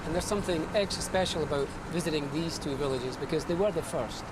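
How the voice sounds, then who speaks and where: A man's voice: a little high, fast. A man talking unscripted in a documentary interview, recorded on location. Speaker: electrical engineer, charity founder. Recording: clear vehicle and location noise.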